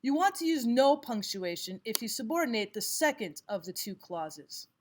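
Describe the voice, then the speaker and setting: Woman, slightly high voice, teacher, scripted lesson narration, deliberate explanatory delivery. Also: sing-song, slight background noise. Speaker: language arts teacher.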